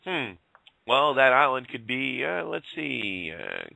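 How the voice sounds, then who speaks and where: A male host of a casual call-in talk show, rambling in a folksy way and getting hoarse. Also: medium pitch, sing-song, slow, pushed and bright, no echo. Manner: rambling, folksy, laughs often.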